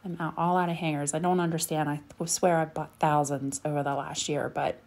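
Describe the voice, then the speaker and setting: A woman's voice: low voice, level tone, a little fast, a little mellow. A woman in her thirties chatting casually over her home cleaning video, rambling with lots of ums. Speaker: cleaning vlogger. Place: home bedroom.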